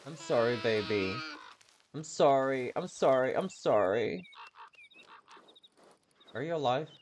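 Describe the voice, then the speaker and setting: A man giving casual, rambling gameplay commentary, self-deprecating and quick to swear, as he streams a video game. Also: medium pitch, expressive, a little hiss or hum.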